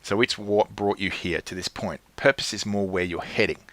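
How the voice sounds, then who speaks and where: A man coaching his clients, earnest and checking in often, with lively jumps in pitch and frequent restarts. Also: normal speed, no echo. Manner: earnest, keeps checking in with "right?". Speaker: life coach.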